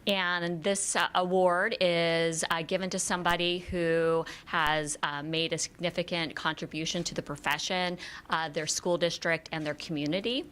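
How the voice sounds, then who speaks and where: A middle-aged woman, a school finance association director, giving a measured award presentation at a board meeting with many "uh" pauses. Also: fairly low pitch, steady tone, a bit bright, clear, resonant tone. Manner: measured, with frequent "uh" fillers. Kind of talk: formal award presentation speech. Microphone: without a working microphone, projecting.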